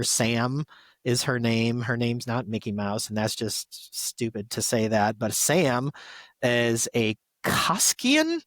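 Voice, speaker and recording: A man's voice: mid-range voice, no echo. A man co-hosting a podcast, giving enthusiastic, conversational review commentary. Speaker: podcast co-host.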